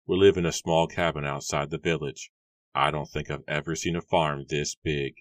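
A man's voice: a bass voice, little pitch movement, moderate pace, no room sound, clean studio sound. A man voicing a confident, cheerful young farmhand who speaks politely and eagerly.

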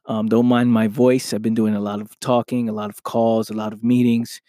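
A man hosting his own podcast, his voice a little hoarse, talking earnestly and often asking "right?" as he motivates listeners. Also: fairly low pitch, level tone, moderate pace, dark tone, no room sound, clean studio sound. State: slightly hoarse from losing his voice. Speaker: podcast host. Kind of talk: motivational solo podcast talk.

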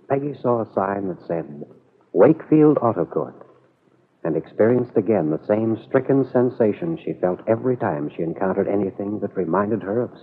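A man with an American accent narrating a radio drama in a measured, dramatic storytelling manner, on a muffled vintage recording. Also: fairly low pitch, slightly rough.

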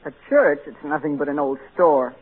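A man's voice: a little high, moderate pace, slight background noise, lightly acted. An actor playing a snooty, precocious rich boy with prim, formal diction in old-time radio style. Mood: disdainful. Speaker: actor in a radio comedy-drama. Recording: narrow tinny vintage radio broadcast.

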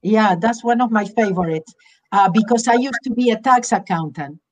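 A woman's voice: mid-range voice, moderate pace. A middle-aged woman from Argentina with an Argentine Spanish accent, a guest speaking earnestly and unhurriedly in an interview over a video call. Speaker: guest author and teacher. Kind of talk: interview talk. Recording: dull lo-fi call audio.

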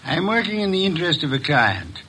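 An actor playing a middle-aged private investigator in a 1950s radio drama, speaking in a precise, measured, commanding way. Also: male voice, fairly high pitch, big pitch swings, sharp tone, slight background noise, rough recording. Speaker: detective.

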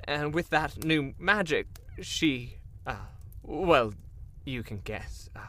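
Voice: mid-range voice, animated, takes it slowly, pushed and bright, a little hiss or hum. A performer playing a nervous, fawning, bumbling warlock minion in a comedic fantasy audio drama, hesitant and obsequious.

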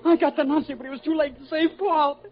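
An actor playing a guilt-ridden young war veteran under sedation, halting and repetitive, in a classic radio drama. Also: male voice, very high-pitched, steady tone. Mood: anguished, sobbing. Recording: dull old radio recording with music.

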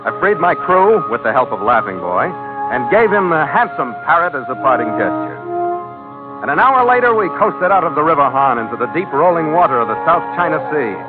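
A man's voice: fairly high pitch, very animated, brisk. An actor playing a tough, hot-tempered sea captain, narrating his adventures in a hard-boiled, terse old-time radio style. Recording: tinny vintage radio recording, loud music.

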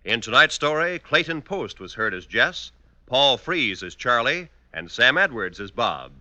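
An American male announcer reads in scripted 1950s radio style. His mid-pitched voice is very bright and animated, recorded close and dry. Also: measured pace, dry recording.

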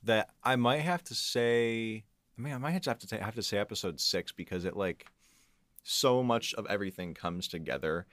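Deep-voiced man talking casually. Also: normal speed, a bit bright, dry recording. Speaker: guest composer and audio engineer. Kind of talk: casual behind-the-scenes interview talk.

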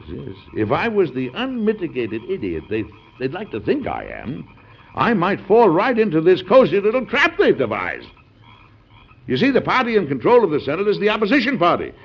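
An actor playing a principled, jovial, stubborn American president in an old-time radio drama, emphatic and folksy. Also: male voice, fairly high pitch, creaky, slight background noise, full character voice. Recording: dull, lo-fi old radio recording.

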